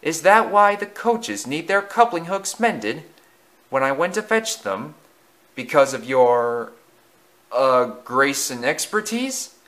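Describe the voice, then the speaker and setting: Guy doing a cheeky little train engine, teasing, higher voice, storybook style. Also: lively intonation, takes it slowly, a bit bright. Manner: mocking, teasing questions.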